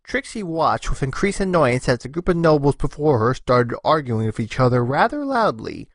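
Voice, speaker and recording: A man's voice: medium pitch, very animated, normal speed, no echo, studio quality. A man narrating a scripted story as its narrator, reading in storybook style.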